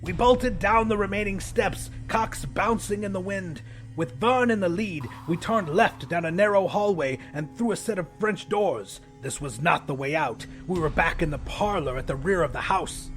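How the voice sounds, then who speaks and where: A man's voice: high-pitched, moderate pace, fairly bright tone, faint music behind. A man narrating a horror audiobook in the first person, with an intense, theatrical delivery.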